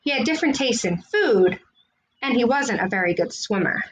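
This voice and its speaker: A woman host reading a children's picture book aloud in an expressive storytelling way, with drawn-out dramatic phrasing. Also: a little high, a little hiss or hum, rough recording.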